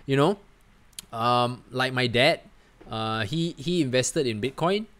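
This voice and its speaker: A man from Singapore, hosting a casual livestream and answering viewer comments in a chatty, animated way. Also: mid-range voice, slow pace. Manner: chatty, animated, peppers talk with "man", "guys" and "right?". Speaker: livestream host.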